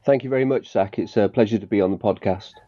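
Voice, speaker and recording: A man from Northern Ireland, raised in northern England, speaking as a guest historian in an interview, thoughtful, with many pauses and restarts. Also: lowish voice, mellow, dry recording. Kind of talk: academic interview. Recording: dull lo-fi sound.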